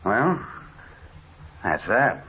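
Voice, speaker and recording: An actor playing an irritable, bossy stranded motorist in an old radio drama, curt and commanding. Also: male voice, lowish voice, very animated, drawn-out words, sharp tone, exaggerated voice. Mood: irritated. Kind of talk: 1940s radio drama acting. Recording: tinny, noisy old radio recording.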